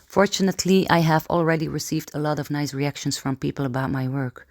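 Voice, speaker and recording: A woman from Belgium, a painter calmly reading a personal statement about her work. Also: low voice, fairly quick.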